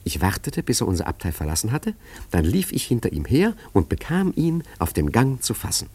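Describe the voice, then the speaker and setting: An actor playing a suave, cunning gentleman adventurer in a German radio play, urbane, wry and smoothly condescending as he recounts his exploits. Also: medium pitch, wildly swooping pitch, fairly quick, dry recording. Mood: wry, reminiscing.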